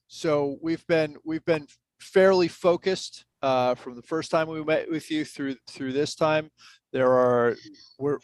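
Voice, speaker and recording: Man, meeting chair, measured, procedural, lots of uh's, dry sound. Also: mid-range voice, no echo. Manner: measured, procedural, with many fillers. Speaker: board chair.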